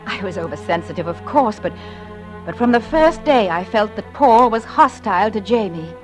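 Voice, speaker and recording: A woman's voice: mid-range voice, animated, moderate pace, close to an everyday voice. Actress as an anxious mother in vintage radio drama, music behind. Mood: anxious confiding. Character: anxious, fearful young mother, secretly desperate wife. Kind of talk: 1940s radio drama acting, confiding narration.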